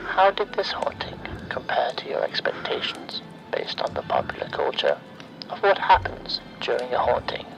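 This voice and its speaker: A man playing a sinister automated phone survey voice in a horror ASMR roleplay, breathy and often near-whispered, speaking in measured, formulaic announcements. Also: medium pitch, wildly swooping pitch, exaggerated voice, poor audio. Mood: eerily polite.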